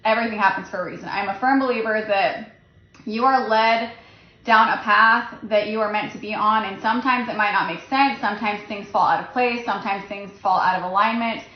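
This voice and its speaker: A woman's voice: mid-range voice, brisk. A woman speaking on a livestream, nervous with a shaking voice, gushing and earnest. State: nervous, shaky voice. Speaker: social media seller on a livestream. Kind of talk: emotional livestream announcement. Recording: roomy, dull phone livestream audio.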